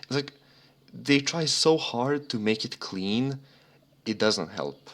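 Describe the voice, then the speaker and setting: A man's voice: mid-range voice, lively intonation, slow pace, fairly bright tone. A young man from Serbia chatting casually as a podcast co-host, rambling and swearing freely. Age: young adult. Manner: rambling, profane. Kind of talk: casual motorsport podcast chat. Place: remote voice chat.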